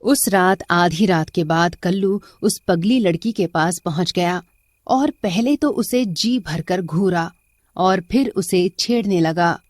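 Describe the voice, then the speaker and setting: Woman reading out a story, unhurried, mid pitch, close dry recording. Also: no room sound. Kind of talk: scripted story narration.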